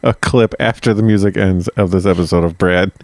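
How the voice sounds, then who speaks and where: A man's voice: low-pitched, fairly even intonation, a little fast, darkish tone, no echo. A man co-hosting a casual history podcast, blunt and joking, often acting out quotes. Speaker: podcast co-host.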